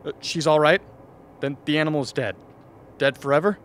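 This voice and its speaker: An actor playing an agitated, blunt expedition member in a radio play, speaking in halting bursts. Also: male voice, on the high side, steady tone, fairly bright tone, a little hiss or hum, natural voice. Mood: anxious.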